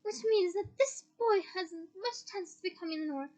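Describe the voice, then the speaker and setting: A woman voicing a fussy, simpering older female official, spiteful and shrill, in a storybook reading. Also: fairly quick, a little mellow. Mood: cold.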